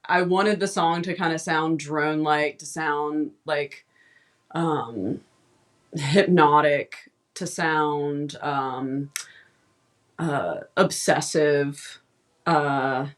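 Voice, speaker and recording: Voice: medium pitch, fairly even intonation, fairly bright tone. A band member explaining a song in casual interview talk, hesitant and full of "um" and "like.". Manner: slow, hesitant, many fillers.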